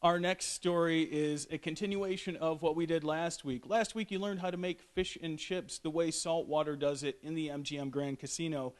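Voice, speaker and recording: Higher-voiced man anchoring the news. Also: fairly even intonation, normal speed, hardly any pauses. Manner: reads smoothly from script. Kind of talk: scripted news presentation.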